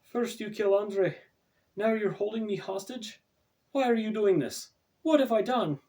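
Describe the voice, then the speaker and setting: A man's voice: high-pitched, a little slow, mellow, voice pushed up. A man voicing a frightened young woman who pleads and demands tearfully in a storybook reading, recorded at a distance from the microphone. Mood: indignant, frightened.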